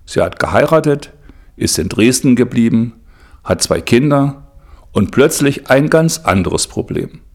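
A man's voice: medium pitch, lively intonation, measured pace. A man reading scripted documentary narration as a reporter-style narrator.

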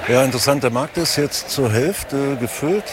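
A man's voice: medium pitch, moderate pace. A man working as a reporter, casually interviewing and commenting on location. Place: outdoors on a half-filled market square. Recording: outdoor crowd and street noise.

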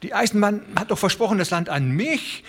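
A man's voice: animated, a little fast, fairly bright tone, slightly rough, full character voice. A man voicing a flustered old rancher who stammers in a raised voice. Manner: stammering, high-pitched.

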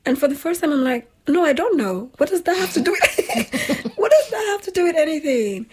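A woman with a slightly husky voice, hosting a casual chat in an informal, emphatic, slangy way. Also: high-pitched, animated, brisk. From Nigeria. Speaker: talk show host.

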